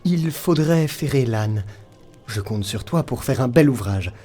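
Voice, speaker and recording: A man's voice: mid-range voice, lively intonation, measured pace, dark tone, natural voice. A man acting male characters of a folk tale in an audio drama, speaking in a theatrical, emphatic manner. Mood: solemn, admonishing. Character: male folk-tale characters: devil, saint, wily blacksmith.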